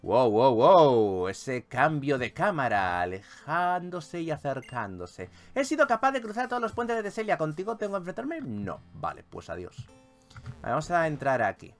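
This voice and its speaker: A man's voice: fairly high pitch, moderate pace. A man with a Spain accent giving playful, exclamatory gameplay commentary as a video host. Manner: animated, exclamatory, jokey. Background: video game music.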